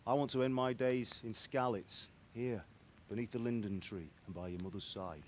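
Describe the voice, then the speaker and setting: A male actor as a middle-aged blacksmith father in a dramatic video game. He is plain-spoken, weary and cautious, with a mid-pitched voice. Clear noise sits behind him. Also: moderate pace, lightly acted. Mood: weary, cautionary. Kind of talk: dramatic game cutscene acting.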